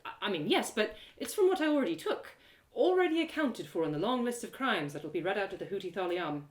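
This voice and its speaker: A woman voicing an awkward, earnest elf woman in a storybook reading, with a hesitant, halting manner. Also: mid-range voice, very animated, close to an everyday voice. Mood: defensive.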